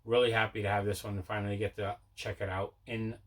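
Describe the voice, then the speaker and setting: A man's voice: on the low side, level tone, fast. A man hosting a casual mail-day video about his movie collection, talking in a repetitive, enthusiastic way.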